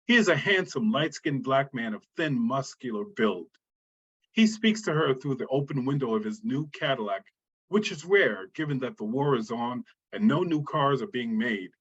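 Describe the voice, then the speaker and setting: A man's voice: mid-range voice, a bit bright, slightly rough. A man reading out screenplay stage directions as a scripted narrator. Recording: dull, muffled sound.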